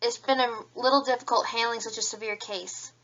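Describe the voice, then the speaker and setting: A woman's voice: fairly high pitch, fairly even intonation, a little fast, fairly bright tone, dull audio. A woman playing an earnest, defensive rabbit police officer giving careful, formal testimony in an audio drama. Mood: solemn, apologetic. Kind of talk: fan audio drama acting.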